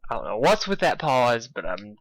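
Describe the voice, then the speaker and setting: A man reading fan fiction aloud in a casual, expressive way, stumbling over lines and poking fun at himself. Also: mid-range voice, normal speed, creaky, no room sound. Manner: self-deprecating, swearing, stumbles over lines. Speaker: amateur fan fiction reader. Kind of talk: casual intro and expressive read-aloud narration.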